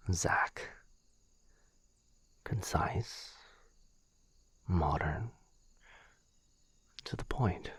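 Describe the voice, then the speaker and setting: A man playing a gentle, protective, courtly vampire lord in an ASMR roleplay, speaking softly in a husky near-whisper with a hesitant, formal, earnest manner. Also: sits low, no room sound, exaggerated voice. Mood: amused, tender. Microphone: close studio mic, dry.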